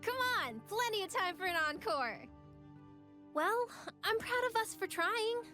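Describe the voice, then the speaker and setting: A woman's voice: very high-pitched, very animated, measured pace, heavily acted. A young woman voicing a playful, confident, wholesome pop star heroine in a video game, with an upbeat manner. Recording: game clips with music behind.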